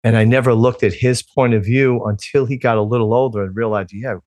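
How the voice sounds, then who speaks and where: A man with a North Jersey accent hosting a podcast, asking questions haltingly and searching for his words. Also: mid-range voice, steady tone, darkish tone, dry recording, studio quality. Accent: American, North Jersey. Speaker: podcast host. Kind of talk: casual interview talk.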